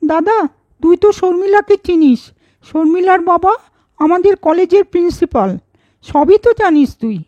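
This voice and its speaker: Woman as a cartoon little sister of college age, extremely high voice, earnest, hesitant, dull lo-fi sound. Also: normal speed, mellow, dry recording. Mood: worried, hesitant. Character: anxious, earnest college-girl younger sister. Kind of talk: children's cartoon story dialogue. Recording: dull lo-fi audio over music.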